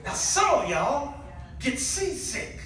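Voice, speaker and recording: Animated high-pitched male preacher in echoing hall. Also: takes it slowly, music in the background. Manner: dramatic, repeats punchlines, acts out dialogue. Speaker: guest preacher. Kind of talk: animated sermon with repetition and call-and-response. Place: church sanctuary before a responding congregation. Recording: very echoey hall, distant microphone.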